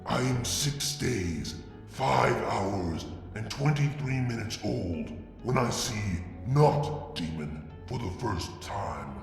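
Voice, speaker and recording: A man reads a story in a slow, gravelly voice with lively, rising and falling pitch. His reading is measured and dramatic. The room is echoey, with a long reverb and faint music underneath. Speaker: fanfiction reader. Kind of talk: dramatic first-person story narration.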